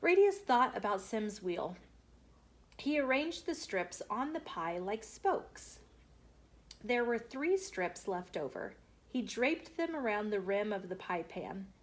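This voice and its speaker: A woman reading a children's picture book aloud as an expressive storyteller. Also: medium pitch, slow.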